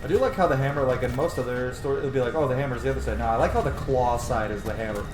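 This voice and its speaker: A man's voice: medium pitch, racing. A man in his thirties giving casual commentary while playing a video game. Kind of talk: casual let's-play commentary. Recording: roomy sound under loud game audio. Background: loud game music and combat sounds.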